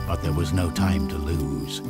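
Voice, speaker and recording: A man narrating a game's story in a solemn, theatrical storybook style with measured delivery. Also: a bass voice, fairly even intonation. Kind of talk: dramatic scripted storybook narration for a game. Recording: music behind the voice. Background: loud music.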